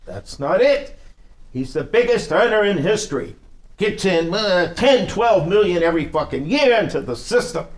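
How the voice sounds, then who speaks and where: Man playing a high-voiced, animated mob boss. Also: moderate pace. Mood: persuasive. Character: persuasive, pragmatic male senior mob boss. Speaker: actor at a table read. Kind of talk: live script table reading.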